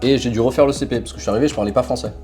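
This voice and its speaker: Young man, Portuguese native, relaxed chatty storyteller with a dark, mellow tone over faint music. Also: mid-range voice, rapid-fire. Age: young adult. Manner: relaxed, animated storytelling with frequent fillers. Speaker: interview guest. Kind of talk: casual conversational storytelling.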